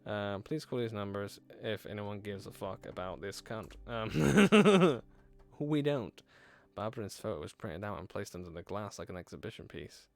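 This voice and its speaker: A man's voice: lowish voice, big pitch swings, moderate pace, creaky, no echo. A young man streaming a horror game, reading its text aloud and bantering with chat, swearing and laughing as he goes, on a headset mic in a small room.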